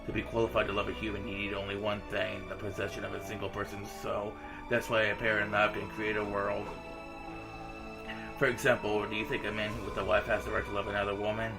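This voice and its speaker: Low-pitched, even-toned man with gravelly fry. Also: brisk, poor audio. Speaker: video game streamer. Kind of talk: reading visual novel dialogue aloud with casual reactions. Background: visual novel game music.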